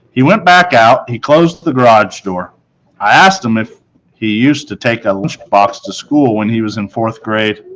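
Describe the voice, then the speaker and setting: A man narrates a children's book out loud. His voice is mid-pitched, and he tells the story in the first person as a storybook reader. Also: poor audio. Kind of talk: read-aloud story narration.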